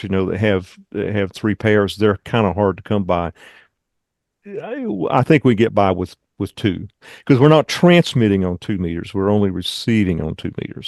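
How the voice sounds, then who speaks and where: A man leading an online club meeting, giving informal updates in a halting, rambling, conversational way. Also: lowish voice, gravelly, a little mellow, dry recording, studio quality. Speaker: radio club meeting leader and presenter.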